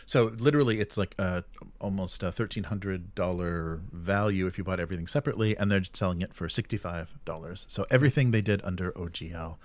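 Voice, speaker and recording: A man co-hosting a tabletop gaming news show, talking casually about the news in a halting, agreeable way. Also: deep voice. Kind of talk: casual talk, commenting on news.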